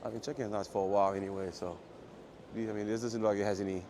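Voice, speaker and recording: A man with a low voice chatting casually and rambling to his livestream viewers as he walks through an airport terminal. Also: fairly even intonation, fast talker. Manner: rambling, joking, talks quietly. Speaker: IRL livestreamer. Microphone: phone or camera carried while walking. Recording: noisy phone livestream audio.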